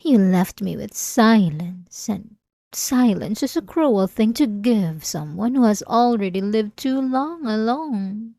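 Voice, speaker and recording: Slow, possessive vampire woman, acted by a woman. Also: mid-range voice, lively intonation, creaky, warm tone, no echo, studio quality, full character voice. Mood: wounded, possessive. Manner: slow, theatrical, seductive taunting with drawn-out pauses. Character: obsessive, possessive, manipulative centuries-old female vampire lover. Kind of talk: ASMR roleplay acting.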